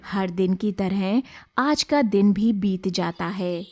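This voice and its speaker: A woman's voice: mid-range voice, slow pace, dark tone, soft background music. A woman reading scripted storybook narration as the narrator of a tale.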